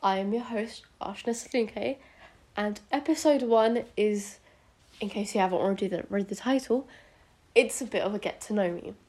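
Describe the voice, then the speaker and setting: A teenage girl with a British accent and a medium-pitched voice chats casually as a podcast host. She sounds a bit sick and sniffly. Her delivery is hesitant, rambling and self-conscious. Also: moderate pace, mellow. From United Kingdom, born in India. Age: teenager, about 14 to 15. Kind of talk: casual solo podcast chat.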